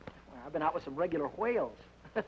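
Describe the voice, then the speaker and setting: A man's voice: on the high side, expressive, fast talker, lightly acted. An actor playing Denny, a timid and nervous young man who stammers, babbles and blurts things out, in 1940s radio-play style. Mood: awkward. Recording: dull old radio recording with faint hiss.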